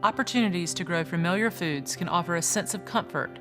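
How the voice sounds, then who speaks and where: A woman's voice: fairly low pitch, fairly quick, over music. A woman hosting a travel food show. She asks curious questions, laughs easily, and narrates in between. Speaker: host. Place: outdoors at farms and markets.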